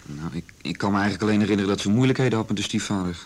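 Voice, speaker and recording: An actor playing an earnest young son in a radio drama, telling what he witnessed in a halting, sincere, plain-spoken way. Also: on the low side, slight background noise, close to an everyday voice. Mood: matter-of-fact. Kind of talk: naturalistic radio drama dialogue.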